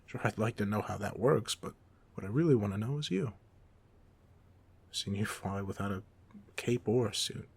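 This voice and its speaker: A man playing a cocky, playful, secretly soft-hearted young supervillain in a casual, intimate roleplay audio drama, teasing the listener as he talks. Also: on the low side, normal speed, creaky, long pauses, slightly rough. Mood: curious, probing. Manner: teasing, casual, smug, conversational.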